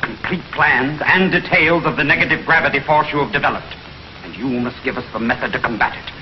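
A man's voice: medium pitch, fairly quick, close to an everyday voice. Man acting a pompous gloating villain, echoey old soundtrack. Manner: pompous, theatrical, menacing. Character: gloating villainous alien intelligence chief, cold and grandiose. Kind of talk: 1950s television sci-fi serial acting. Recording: muffled, noisy, echoey 1950s TV soundtrack.

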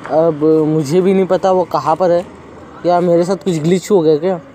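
Young male host, relaxed let's-play chatter, light faint background noise. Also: fairly high pitch, normal speed. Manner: chatty, thinks aloud while playing. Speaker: gaming channel host. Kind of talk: casual let's-play commentary.

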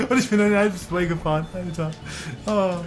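A man's voice: high voice, normal speed. A man giving casual, joking let's-play commentary while racing, making playful sound effects, with game music underneath.

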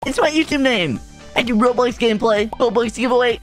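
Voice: mid-range voice, fairly quick, faint music behind, voice pushed up, natural voice. A performer voicing a boastful young male wannabe YouTuber who brags about being famous. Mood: bragging. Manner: bragging, hyped streamer talk.

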